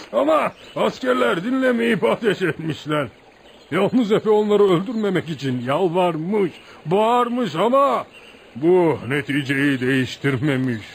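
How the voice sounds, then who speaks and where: An actor in a radio play voicing a deferential village man who tells outlaw legends with admiration, like an animated folk storyteller. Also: high voice, slow pace, creaky, heavily acted. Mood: dramatic. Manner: animated folk storyteller, addresses listener as sir. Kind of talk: radio theatre acting. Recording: noisy radio drama recording.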